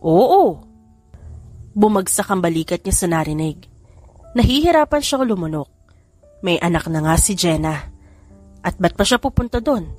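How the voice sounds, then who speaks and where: A woman's voice: lowish voice, lively intonation, normal speed, long pauses, darkish tone. Woman narrating over music. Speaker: audiobook narrator. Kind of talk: scripted story narration.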